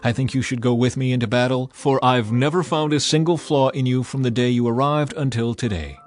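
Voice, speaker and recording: A man's voice: mid-range voice, fairly quick, soft background music, natural voice. A man voicing a foreign king who speaks earnestly and apologetically. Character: anxious male foreign king, apologetic and fond.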